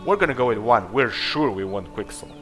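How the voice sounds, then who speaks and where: A man with a bright, forward voice talks casually through a video game. He rambles and hedges, feeling his way through each thought. Faint game music plays behind him. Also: mid-range voice, expressive, brisk. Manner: rambling, hesitant, hedging. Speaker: gameplay video host. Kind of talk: casual game commentary, reading dialogue aloud.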